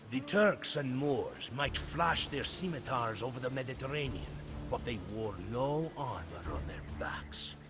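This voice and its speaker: An actor playing a loyal soldier who solemnly and theatrically recounts his captain's campaigns as the storybook narrator of a game. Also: mid-range voice. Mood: grand, awed. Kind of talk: dramatic storybook cutscene narration. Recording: studio narration under loud music.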